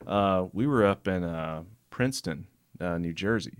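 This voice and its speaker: A man's voice: sits low, measured pace, clean tone, no echo, studio quality. A man with a Southern American accent, hosting a casual conversational interview. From Alabama, American South. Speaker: interviewer, host.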